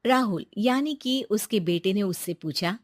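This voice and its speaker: A woman's voice: mid-range voice, animated, a little slow, no echo, clean studio sound. A woman reading a scripted story as its narrator.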